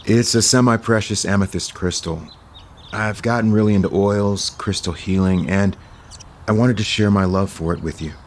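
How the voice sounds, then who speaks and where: An actor playing a gentle, earnest, considerate man in an audio soap opera. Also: lowish voice, steady tone, moderate pace, slight background noise, lightly acted. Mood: gentle, gracious.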